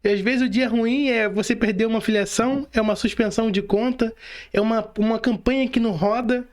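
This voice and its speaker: A Brazilian man with a high-pitched voice hosts a podcast conversation. He speaks casually and colloquially. His sentences often end in short tags that check the listener is following. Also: fairly even intonation, brisk. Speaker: podcast host.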